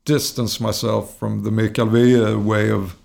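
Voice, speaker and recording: A man's voice: on the low side, little pitch movement, measured pace, gravelly, a little mellow. A man with a Swedish accent talking about his singing career in English, hesitant and full of fillers and repeated words. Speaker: singer, interview guest. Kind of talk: casual conversational interview.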